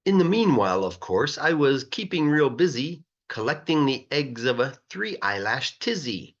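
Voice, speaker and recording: Man, children's storybook narration, very lively swooping pitch, sing-song rhyming delivery, drawn-out emphasis, slightly dull lo-fi recording. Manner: expressive, sing-song rhythm, stretching words for emphasis. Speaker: English teacher reading a children's story aloud.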